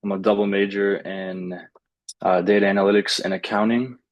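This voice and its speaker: A young man whose first language is Lithuanian, introducing himself slowly over a video call, with some hesitant fillers. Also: on the low side, little pitch movement, dull audio. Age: young adult. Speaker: student. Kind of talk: self-introduction.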